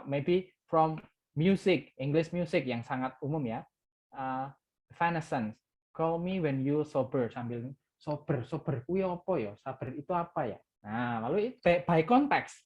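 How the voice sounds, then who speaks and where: A man's voice: on the high side, expressive, moderate pace, a little mellow, highs cut off. A man from Java with an Indonesian accent and Javanese as his first language, lecturing an English class and switching between English and Indonesian, repeating key words with "ya".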